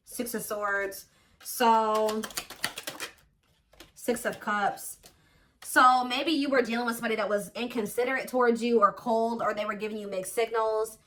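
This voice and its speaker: Fairly high-voiced woman reading tarot. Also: bright, forceful tone, a little hiss or hum. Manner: thinks aloud, many fillers and restarts. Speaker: tarot reader.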